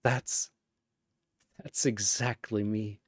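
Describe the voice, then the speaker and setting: A man voicing a frightened, weary young man, speaking quietly and breathily in a dramatic story. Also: fairly low pitch, fairly even intonation, extremely slow, breathy edge, no echo, studio quality, voice pushed down, full character voice. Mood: shaken.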